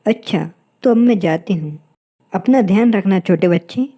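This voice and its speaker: A woman's voice: mid-range voice, normal speed, music in the background, natural voice. A woman voicing a kind, helpful young bird who speaks with earnest concern in a cartoon moral story. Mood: caring.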